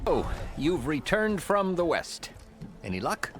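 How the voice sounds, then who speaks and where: A measured, formal magistrate. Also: male voice, a little high, sing-song, over music. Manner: formal, measured, dryly amused. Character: stern, weary male town magistrate. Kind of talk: video game cutscene acting.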